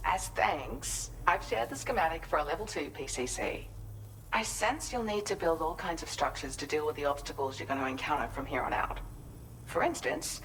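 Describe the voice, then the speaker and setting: A kindly calm inventor lady in a video game, slightly low voice, explains stuff politely, bit of background noise. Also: poor audio. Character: kind, composed gifted woman prospector and inventor, grateful. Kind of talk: scripted video game cutscene dialogue.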